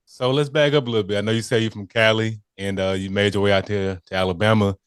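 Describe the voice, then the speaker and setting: A man's voice: lowish voice, fairly even intonation, fast, clear, resonant tone, no room sound, studio quality. A man hosting a podcast in a casual, laid-back, colloquial chat style. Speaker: podcast host.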